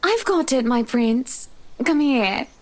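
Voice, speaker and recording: High-pitched, possessive socialite. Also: female voice, lively intonation, slow, darkish tone. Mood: cooing. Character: wealthy, possessive, clingy young British socialite wife. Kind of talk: visual novel voice acting.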